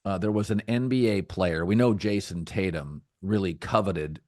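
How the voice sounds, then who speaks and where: Deep-voiced middle-aged man talking sports. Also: a little mellow, dry recording, clean studio sound. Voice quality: deep, rich voice. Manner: measured, thoughtful delivery with frequent restarts. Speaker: podcast host. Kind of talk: casual sports talk.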